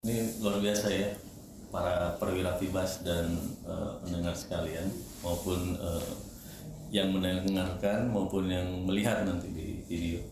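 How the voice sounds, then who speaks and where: A man's voice: low-pitched, fairly even intonation, a little slow. A man hosting a casual podcast interview. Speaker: podcast host. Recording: very echoey, noisy room.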